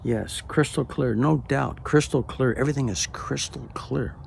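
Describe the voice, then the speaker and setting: A man in his late seventies telling a personal story in a hesitant way, with many pauses, and often dropping to a near-whisper. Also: medium pitch, vocal fry, a little hiss or hum. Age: late 70s. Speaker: storyteller recounting a personal experience. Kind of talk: unhurried spoken personal narration.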